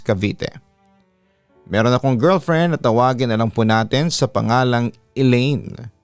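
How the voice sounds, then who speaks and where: Male Filipino radio-style narrator for a story read. Crisp, resonant, measured and dramatic, close-miked over faint music. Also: medium pitch. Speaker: radio announcer hosting a horror story show, reading listeners' letters. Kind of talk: scripted first-person story narration. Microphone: close studio microphone. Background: soft background music.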